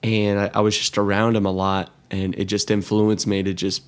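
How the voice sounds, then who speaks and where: Laid-back low-voiced hoarse young man. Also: little pitch movement, moderate pace. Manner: laid-back, slangy, says "dude" and "man". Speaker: podcast host. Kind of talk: casual podcast conversation.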